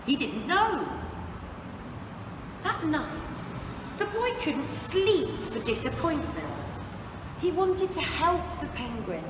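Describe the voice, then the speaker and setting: A woman reading a children's storybook aloud expressively, with dramatic phrasing and deliberate pauses for young listeners. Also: high voice, very animated. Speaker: English teacher reading a picture book aloud. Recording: echoey, reverberant room, distant mic, noisy background.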